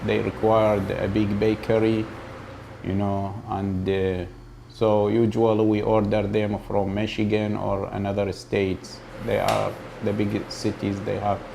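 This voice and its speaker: A man from Iraq, a grocery store owner speaking haltingly in a documentary interview, often pausing to find his words. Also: fairly low pitch, completely flat delivery, vocal fry, dark tone, slight background noise.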